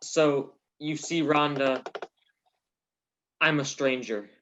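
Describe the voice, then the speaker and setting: A man playing a melodramatic soap opera lover in a radio parody, halting and dramatic. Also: fairly high pitch, fairly even intonation, fairly bright tone, slight background noise. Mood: earnest, dramatic. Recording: dull lo-fi recording.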